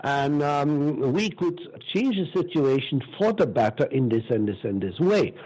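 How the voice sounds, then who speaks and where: A man's voice: medium pitch, expressive, measured pace, darkish tone, husky, poor audio. A man in his sixties with a Belgian accent, telling stories emphatically and with strong opinions as an interview guest. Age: 60s. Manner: emphatic, opinionated, repeats words. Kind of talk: animated conversational storytelling.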